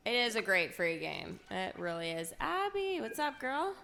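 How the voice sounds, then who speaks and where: A woman streams a game with casual, exclamatory commentary. Her voice is bright and swings widely in pitch, with some gravel in it. Music plays faintly behind her. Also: very animated, moderate pace, slight background noise. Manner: exclamatory, mild minced swearing. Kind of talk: casual live gameplay commentary, chatting to viewers.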